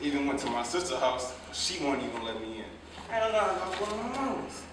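A man's voice: mid-range voice, expressive, brisk, natural voice. A man in a staged play reading playing a broke American hotel worker, street-casual and wheedling. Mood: cocky, aggrieved. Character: broke, cajoling male hotel worker thrown out by his woman. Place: indoor theater stage, recorded from afar. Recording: echoey stage, distant mic, noisy.

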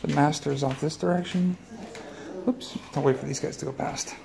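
A man's voice: fairly high pitch, fairly even intonation, normal speed, creaky, background noise. A man walking through a house with a phone camera, casually pointing out the rooms as he goes. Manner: matter-of-fact, pointing out rooms as he walks. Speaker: house tour guide. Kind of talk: casual walkthrough narration. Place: large unfinished house, indoors. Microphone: handheld phone camera.

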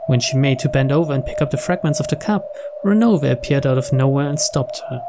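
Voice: mid-range voice, unhurried, very noisy. A narrator reading visual novel narration aloud.

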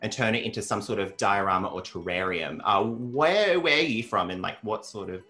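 An upbeat man hosts a chatty recap with a bright, sharp tone and a lively, bouncing pitch. He sounds roomy, as if recorded in an echoey studio, with faint music underneath. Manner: upbeat, chatty, quick with occasional whoops. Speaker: host. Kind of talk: casual recap chat. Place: bright craft-decorated studio set.

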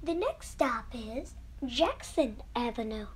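A woman reading scripted subway train announcements in a measured, formulaic manner. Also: on the high side, sing-song, a little hiss or hum.

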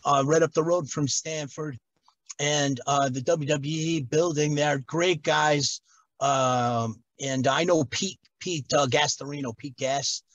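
A middle-aged man from Connecticut tells stories on a video call in a loose, rambling way, full of fillers and restarts. His voice sits a little high, and the sound is dry and close. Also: fairly even intonation, slow pace, fairly bright tone, no echo. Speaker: guest, former wrestler. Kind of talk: casual storytelling on a video call.

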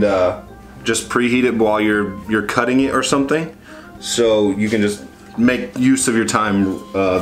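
A young man chatting casually through a cooking how-to, rambling and correcting himself as he films with a handheld camera in a small home kitchen. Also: lowish voice. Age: young adult. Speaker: vlogger. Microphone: handheld camera at arm's length.

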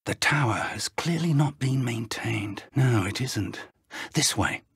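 An actor playing an elderly wizard professor in a video game, an earnest, resolute mentor who speaks formally and urgently in a husky, articulate voice. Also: male voice, mid-range voice, expressive, measured pace, dry recording, clean studio sound, exaggerated voice. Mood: measured, mentoring. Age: older. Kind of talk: video game character dialogue.

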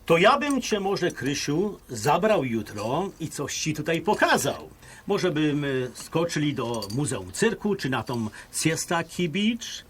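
Audio drama role: genial highlander émigré around sixty, courting gallantly, highish lively pitch, Polish mountain accent. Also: male voice, slow pace, a little hiss or hum, heavily acted. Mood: jovial, flirtatious. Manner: gallant, folksy, slips in English words. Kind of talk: audio drama acting, conversational.

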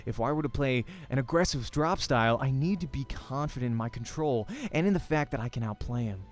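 A man's voice: medium pitch, a little fast, faint music behind. A young man hosting a let's play, reading a visual novel aloud in the first person with dramatic storytelling.

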